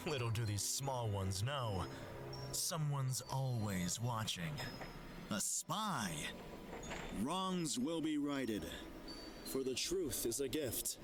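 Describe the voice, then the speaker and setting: A man playing a sinister, theatrical storyteller in a children's show, delivering menacing rhymes in a deliberate sing-song way. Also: mid-range voice, lively intonation. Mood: ominous. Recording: loud music behind the voice.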